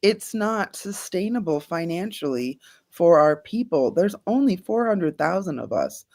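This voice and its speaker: A woman, a guest scientist, answering interview questions earnestly and thoughtfully, with frequent soft laughs. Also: lowish voice, sing-song, a little slow. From Bahamas.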